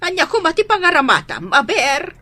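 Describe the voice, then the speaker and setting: A woman's voice: extremely high pitch, huge pitch swings, brisk, bright, forceful tone, no echo, exaggerated voice. A Filipino woman with an Ilocano accent playing a worried, stern, protective mother in a radio drama. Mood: exasperated.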